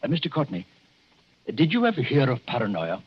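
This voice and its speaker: An actor playing a grave, hesitant English doctor who gives ominous warnings in the formal, theatrical manner of 1940s radio drama. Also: male voice, medium pitch, huge pitch swings, fairly quick, slightly rough. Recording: dull 1940s radio recording.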